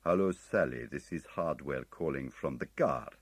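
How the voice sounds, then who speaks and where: A man's voice: very deep voice, animated, moderate pace, no echo. A man voicing a foreign male businessman who sounds breezy and proud, with a slight foreign accent.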